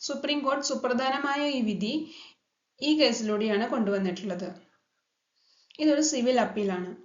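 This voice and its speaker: A woman's voice: a little high, normal speed. A Malayali woman presenting a scripted legal explainer in a formal manner, mixing in English legal terms. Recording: dull lo-fi sound, echoey room.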